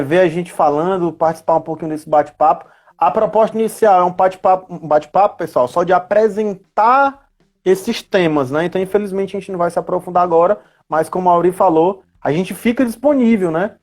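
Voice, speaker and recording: A man's voice: high voice, a little mellow. A man speaking as a guest in a casual live chat, informal, repeating phrases and adding frequent tag questions. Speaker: guest, RPG educator. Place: remote live video chat on social media.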